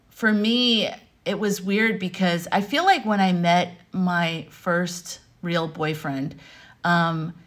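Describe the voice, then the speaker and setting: An American woman with a fairly low-pitched voice talks casually to camera at home. Her delivery is animated and conversational, wandering through personal stories with side notes along the way. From Arizona, United States. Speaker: vlogger and life coach. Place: home room with wooden beams, indoors.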